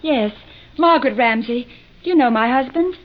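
An actress playing an anxious, wounded wife with an earnest, dramatic manner, in old radio melodrama style. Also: female voice, sits high, normal speed, lightly acted. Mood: urgent. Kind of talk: 1940s-50s radio melodrama acting. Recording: muffled, noisy old radio recording.

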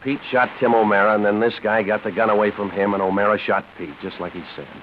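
Man as fast-talking hard-nosed radio detective. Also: fairly low pitch, steady tone, lightly acted. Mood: matter-of-fact. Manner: blunt, clipped, slangy. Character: brisk, hard-nosed male police detective. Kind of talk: 1940s radio acting. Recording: muffled 1940s radio recording, light noise.

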